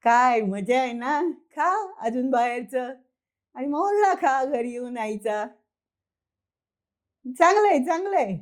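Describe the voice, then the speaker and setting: A woman's voice: high-pitched, lively intonation, slow pace, pauses often. A woman voicing a wry father in a children's story, teasing and placating in an everyday voice. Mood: sarcastic teasing. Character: wry, calm father who steps in.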